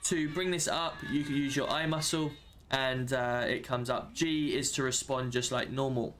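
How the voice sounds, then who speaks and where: Male tutorial presenter, medium pitch, casual and practical delivery, explaining a game feature plainly. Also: fairly even intonation, moderate pace, a bit bright, a little hiss or hum. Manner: informal, matter-of-fact explaining. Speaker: roleplay server member giving a tutorial. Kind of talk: casual screen walkthrough of a game system.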